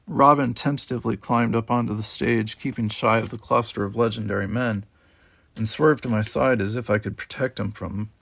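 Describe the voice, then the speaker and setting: A man reading a first-person novel aloud as an audiobook, with expressive storytelling delivery. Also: medium pitch, a little fast, creaky, no echo. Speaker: amateur audiobook reader. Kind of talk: expressive scripted first-person fiction narration.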